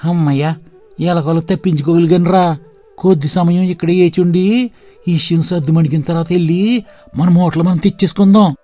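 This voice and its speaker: A woman's voice: on the low side, fairly even intonation, normal speed, dark tone, faint music behind, voice pushed down, close to an everyday voice. A woman voicing a sly male thief who schemes and fawns to get away from the guards. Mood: scheming.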